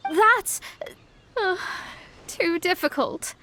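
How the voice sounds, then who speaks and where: A woman's voice: very high voice, extremely slow, bright, forceful tone, a little hiss or hum, full character voice. A woman playing a proud, awkward young astrologer in an anime video game, haughty yet hesitant and easily flustered.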